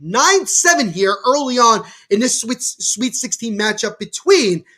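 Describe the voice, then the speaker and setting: A young man from New Jersey with a stuffy cold, giving excitable play-by-play commentary on a livestream and talking to his chat. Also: very high-pitched, a little slow. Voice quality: nasal. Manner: excitable, bursts louder on big plays. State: congested from a cold.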